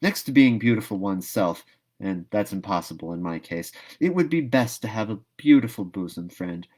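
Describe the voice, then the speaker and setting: A man's voice: fairly low pitch, animated, normal speed, darkish tone. Man reading as a gushing, dreamy young orphan girl. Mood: dreamy, eager. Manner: gushing, wistful, dramatic. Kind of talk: storybook read-aloud.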